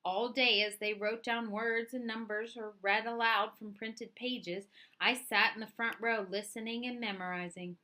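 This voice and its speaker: Bright-voiced woman reading a children's story. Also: on the high side, steady tone. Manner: dramatic, deliberate phrasing with acted dialogue. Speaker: storyteller reading a picture book aloud. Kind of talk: expressive children's read-aloud narration.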